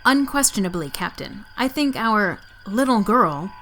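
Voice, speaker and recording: A woman's voice: mid-range voice, sing-song, measured pace, fairly bright tone, soft background music. A woman playing a composed, formal starship first officer in a science fiction audio drama.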